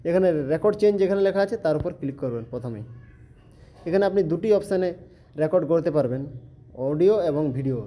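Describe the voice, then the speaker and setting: A man's voice: a little high, dark tone. A man giving a step-by-step spoken app tutorial in an instructional, repetitive way. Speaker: tutorial presenter.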